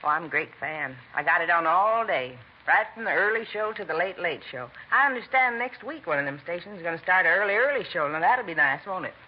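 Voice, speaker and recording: A woman's voice: lowish voice, expressive, brisk, few pauses, slightly rough. Blunt, gabby American neighbor lady, folksy working-class housewife for vintage radio drama, muffled recording. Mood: chatty, enthusiastic. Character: chatty, nosy, working-class housewife, television fan. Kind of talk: 1950s radio drama acting.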